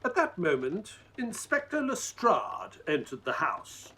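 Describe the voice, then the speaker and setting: A man playing an earnest Victorian gentleman who narrates a detective audio drama. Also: sits high, huge pitch swings, slow, a little hiss or hum, full character voice. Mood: storytelling.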